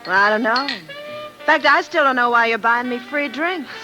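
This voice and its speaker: A woman's voice: on the high side, expressive, normal speed, bright, forceful tone, rough recording. An actress playing a wary, worldly barroom woman in a 1950s radio drama. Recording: vintage radio broadcast, faint music.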